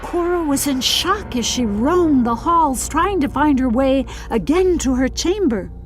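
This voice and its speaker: Female audiobook narrator: high register, unhurried, formal and theatrical storybook delivery over soft music. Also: animated. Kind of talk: scripted dramatic storybook narration. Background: faint music.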